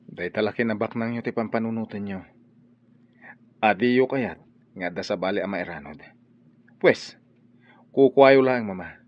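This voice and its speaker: A man's voice: lowish voice, measured pace, long pauses, muffled recording, lightly acted. A man with a Filipino accent playing an earnest, defiant grown son in love, in an Ilocano radio drama. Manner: earnest, insistent. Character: defiant, lovestruck grown son standing his ground.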